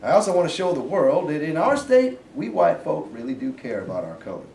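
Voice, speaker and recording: A man's voice: on the high side, sing-song, fairly quick, a little mellow. A man playing blustering, swooping Southern boss. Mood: commanding, persuasive. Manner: domineering, folksy, gruff commands. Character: blustering Southern sergeant turned governor and stern father. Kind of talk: staged play reading. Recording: distant echoey room, faint noise.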